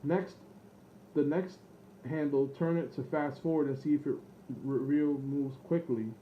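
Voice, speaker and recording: A man's voice: a little high, steady tone, measured pace. Guy rambling thru a gadget demo, kinda unsure of himself, dull audio w some background noise. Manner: hesitant, rambling, self-doubting. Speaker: online seller demonstrating vintage electronics. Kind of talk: unscripted product demo vlog, thinking aloud.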